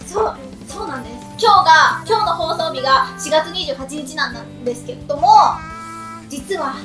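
An excitable, giggly woman with a youthful, girlish voice, hosting a radio talk show with high energy. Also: moderate pace. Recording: roomy, distant mic, music behind.

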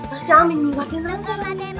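A woman's voice: extremely high pitch, moderate pace. A woman hosting a casual radio talk show, switching between polite announcing and informal chat. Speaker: radio host. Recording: light music bed under the voice. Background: background music.

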